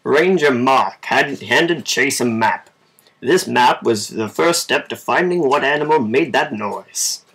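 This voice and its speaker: Man for children's story narration, amateur and informal; unhurried pace, fry-edged voice. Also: mid-range voice, animated, a bit bright, poor audio. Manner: informal, measured amateur reading. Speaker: storybook reader. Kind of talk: reading a children's story aloud.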